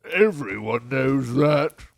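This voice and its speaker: A grumpy big bear with a cold, wheezes and growls his grievances. The delivery is very slow and theatrical, rising and falling widely in pompous, self-pitying melodrama. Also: medium pitch, animated, creaky, no echo, exaggerated voice. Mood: pompous gloom. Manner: melodramatic, pompous, drawn-out complaining. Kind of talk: children's storybook read-aloud.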